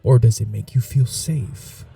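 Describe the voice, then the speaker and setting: A man voicing an ancient royal vampire lord in an ASMR roleplay, suave and flirtatious, speaking slowly with low breathy whispers. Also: far from the mic, heavily acted. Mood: seductive. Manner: slow, seductive, softly whispering.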